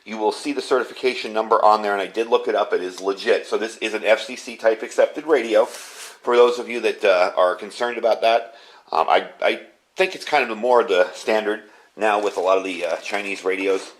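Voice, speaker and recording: A man's voice: on the low side, brisk. A man giving a casual product review and demonstration, explaining things informally and with enthusiasm. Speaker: ham radio product reviewer.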